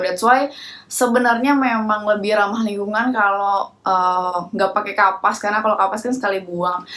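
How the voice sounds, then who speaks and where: A woman's voice: medium pitch, a bit bright. A young woman giving a casual plant care how-to. She has a cold, so her voice is nasal, and she talks chattily with many fillers and English phrases mixed in. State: stuffy nose from a cold.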